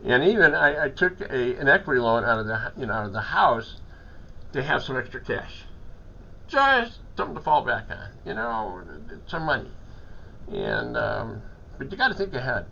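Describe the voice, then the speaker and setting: A man working as a hospital chaplain, hoarse from a cold, talking in a rambling, halting, earnest way with many restarts. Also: mid-range voice, big pitch swings, bright, forceful tone, highs cut off. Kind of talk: casual phone-style chat.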